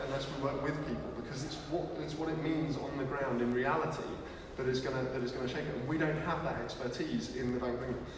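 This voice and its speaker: A man's voice: mid-range voice, background noise. A man giving a live presentation at a launch event, talking energetically with many restarts. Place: large museum hall. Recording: echoey hall from far off.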